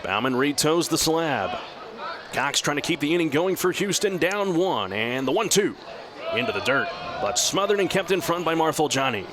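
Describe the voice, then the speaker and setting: A man doing live radio baseball play-by-play in measured, descriptive, clipped phrases. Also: a little high. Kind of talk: live radio sports commentary. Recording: clear steady outdoor ballpark noise.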